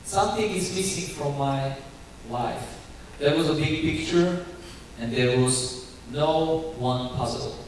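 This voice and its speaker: A young man with a Ukrainian accent giving his church testimony in earnest, halting English full of restarts. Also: a little high. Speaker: guest speaker giving testimony. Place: church sanctuary. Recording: very echoey church hall, distant mic, faint hiss.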